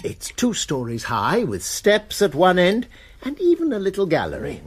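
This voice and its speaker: An actor playing an urbane college master who explains matters calmly in a radio drama. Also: male voice, high-pitched, breathy edge, full character voice. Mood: explaining. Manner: measured, explanatory. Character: Master of a Cambridge college, urbane older man.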